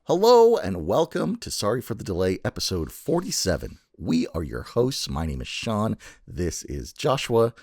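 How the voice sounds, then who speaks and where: A man's voice: lowish voice, huge pitch swings, normal speed, hardly any pauses, a little mellow, no echo. A man hosting a podcast in casual chat, playfully teasing and swearing freely. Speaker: podcast host.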